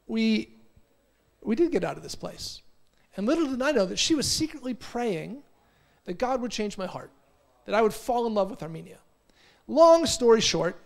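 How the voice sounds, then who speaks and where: Man, American accent, forties, high voice, energetic storyteller, conference talk. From United States. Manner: energetic storytelling, repeats words. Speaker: conference speaker. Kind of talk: motivational talk. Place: conference hall.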